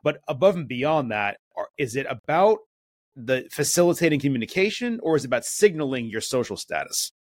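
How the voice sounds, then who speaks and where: A man's voice: mid-range voice, sing-song, a little fast, dry recording. A man co-hosting a podcast, speaking conversationally with plenty of fillers. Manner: conversational, frequent fillers, says he swears a lot. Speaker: podcast co-host. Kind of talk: casual discussion.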